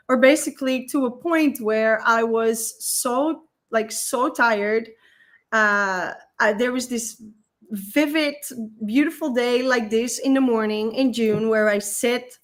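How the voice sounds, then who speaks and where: A woman in her thirties with a Dutch accent, a guest telling a personal story in a halting, emotional way, often repeating words. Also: on the high side, a bit bright. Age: 30s.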